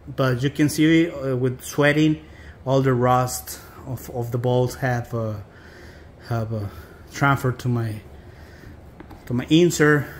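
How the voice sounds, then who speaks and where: A man with a Mexican American accent giving a casual, halting, informal product review as he talks to viewers. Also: mid-range voice, a little hiss or hum. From South Texas. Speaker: boot reviewer.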